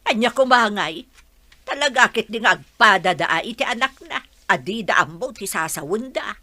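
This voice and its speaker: A woman plays a feisty village woman with an Ilocano accent, proud and sharp-tongued. Her mid-pitched voice is bright and forceful, recorded dry for a radio comedy drama. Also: animated, normal speed, no room sound. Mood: indignant, scolding.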